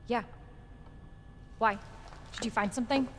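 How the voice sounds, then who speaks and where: A woman's voice: on the high side, steady tone, unhurried, fairly bright tone, a little hiss or hum. An actress playing a determined, caring young American woman in a video game cutscene, speaking casually and plainly. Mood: guarded.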